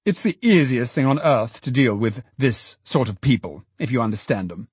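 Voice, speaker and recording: A man's voice: mid-range voice, lively intonation, normal speed, a little mellow, breathy edge, dry recording. A man voicing a hearty, condescending middle-aged alderman in a storybook reading, jovial and patronizing.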